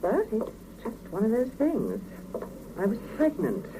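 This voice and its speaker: A woman's voice: fairly high pitch, wildly swooping pitch, measured pace. A woman playing a wry, resigned scientist confiding matter-of-factly in a 1970s radio drama. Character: candid, resigned female scientist confiding in a friend. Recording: noisy old mono cassette radio recording.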